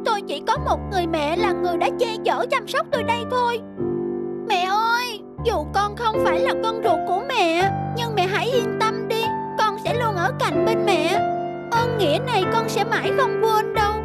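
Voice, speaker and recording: A woman voicing a devoted young cuckoo chick in an animated fable, earnest and emotional. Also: very high-pitched, normal speed. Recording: loud music under the voice.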